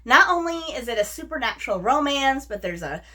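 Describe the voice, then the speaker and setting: A woman with a fairly high, bright voice talks casually to her viewers as a book reviewer. Her pitch moves in a lively way, and she leans on words with enthusiasm, often repeating a phrase for emphasis. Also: a little fast. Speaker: book reviewer, video host. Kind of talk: casual chatty book-tag vlog talk to camera.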